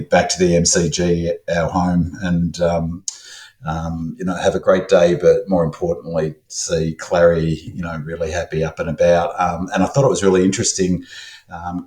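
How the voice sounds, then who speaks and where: A hoarse Australian man with a low, flat voice talks through a football podcast as its stats analyst. He rambles, fills gaps with ums and keeps restarting his sentences. The room around him sounds echoey. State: hoarse, croaky voice. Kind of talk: casual podcast chat.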